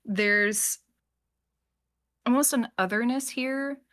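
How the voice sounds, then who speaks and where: A woman's voice: fairly high pitch, slow, fairly bright tone. Casual woman with informal, tentative phrasing. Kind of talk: casual chat.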